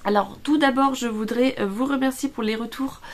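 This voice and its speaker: Gravelly-voiced Italian woman, hesitant. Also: fairly high pitch, moderate pace. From Italy. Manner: hesitant, many fillers and restarts. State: tired after illness. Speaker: vlogger. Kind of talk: casual chat. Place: home.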